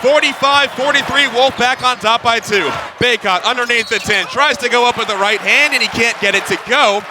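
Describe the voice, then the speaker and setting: A young man doing radio play-by-play of a basketball game, quick and building in excitement on big plays. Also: extremely high pitch, crowd noise. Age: young adult. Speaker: play-by-play announcer. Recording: loud arena noise behind.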